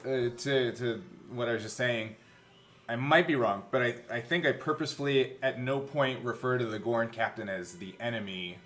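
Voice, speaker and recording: A man's voice: medium pitch, moderate pace, a bit bright. A man who co-hosts a podcast, explaining things casually in a hesitant, self-correcting way. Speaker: podcast co-host, game master. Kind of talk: casual chat, reflective explaining.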